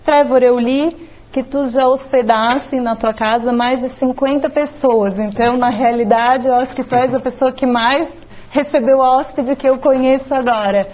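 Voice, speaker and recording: Woman from the south running the panel, highish voice, casual and friendly, lo-fi dull sound. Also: steady tone, normal speed, darkish tone. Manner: informal, uses "tu". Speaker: moderator. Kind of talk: moderating a seminar panel.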